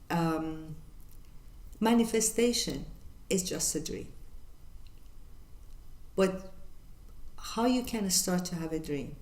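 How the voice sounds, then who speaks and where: An older woman from northern Iran with a Persian accent, hosting her channel and answering viewer questions in an earnest, measured way with many pauses. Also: lowish voice, a little mellow, reverberant. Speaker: channel host. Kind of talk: reflective Q&A monologue.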